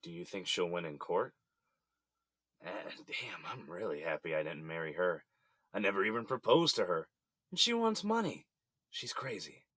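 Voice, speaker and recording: An actor with an American accent playing a regretful, resigned middle-aged husband in jail, subdued and conceding, in an audio drama. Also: male voice, low voice, very animated, normal speed, fairly bright tone, no room sound, full character voice. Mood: bitter disbelief.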